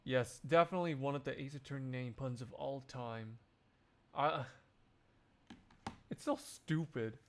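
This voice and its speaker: A man's voice: medium pitch, measured pace, long pauses. A man chatting casually as he hosts a one-man live fandub stream. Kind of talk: casual livestream chat.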